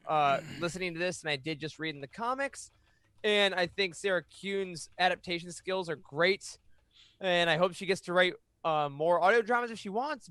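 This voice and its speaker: A man in his thirties chatting casually as a podcast panelist, playful and animated. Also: sits high, smooth, dry recording. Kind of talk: casual chat. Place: home room, indoors. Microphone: close podcast mic on video call.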